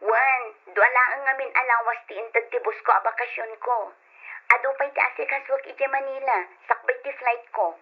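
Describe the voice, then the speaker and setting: A busy, brisk wife and mother played by a woman in a radio drama. Her voice sits fairly high for a woman, and the recording is muffled like an old broadcast. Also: natural voice. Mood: brisk, matter-of-fact. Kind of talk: Ilocano radio drama acting.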